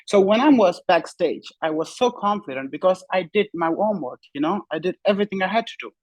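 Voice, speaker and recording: A young man whose first language is Pashto, a bodybuilder telling his story in a relaxed interview and often saying "you know.". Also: high-pitched, quick pace, no room sound, poor audio. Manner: relaxed, repeats "you know" often, simple non-native phrasing. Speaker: bodybuilding competitor, guest. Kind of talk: casual interview talk.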